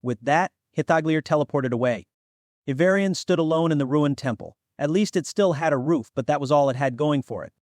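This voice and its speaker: Audiobook narrator, man, lighter higher register, dry recording, reading fantasy fiction. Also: fairly quick, no room sound.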